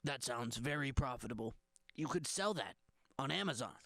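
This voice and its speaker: A man's voice: medium pitch, lively intonation, normal speed, gravelly, a bit bright, no room sound. A man co-hosting a comedy podcast, playfully riffing along with improvised jokes. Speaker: podcast co-host.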